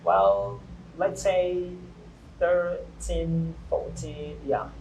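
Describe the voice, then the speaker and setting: A young man in his twenties speaks English as a native Portuguese speaker, earnest and hesitant, often restarting his sentences. His voice sits a little high for a man. The room is echoey, with faint background noise. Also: animated, very slow, darkish tone. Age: 20s. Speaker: guest. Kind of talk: interview answers.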